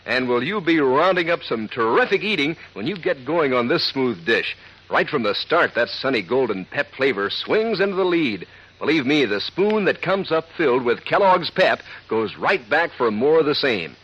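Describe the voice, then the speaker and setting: A man delivers an old-time radio commercial to young listeners. His mid-pitched voice is animated and folksy, bouncing up and down. The vintage recording is somewhat muffled. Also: normal speed. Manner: folksy, peppy pitchman addressing children. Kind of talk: 1940s radio advertisement. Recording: dull, husky-sounding old radio recording.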